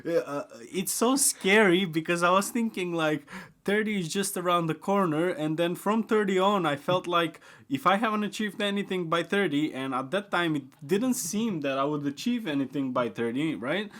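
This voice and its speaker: A young man chatting casually on a podcast, rambling with many fillers and restarts, his voice jumping from excited and high to quiet and low. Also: moderate pace, few pauses. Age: young adult. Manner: rambling, repeats himself, often says like and uh; swings between excited high pitch and quiet low mumbling. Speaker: podcast guest. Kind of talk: casual chat.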